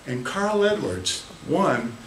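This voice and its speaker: An American man in his sixties giving a theatrical storytelling speech, dramatic and emphatic with deliberate pauses. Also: on the high side, big pitch swings. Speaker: speech contest competitor. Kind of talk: theatrical tall-tale storytelling speech to a live audience. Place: small meeting room, indoors. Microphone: camera a few meters away. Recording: echoey room, faint noise, distant camera mic.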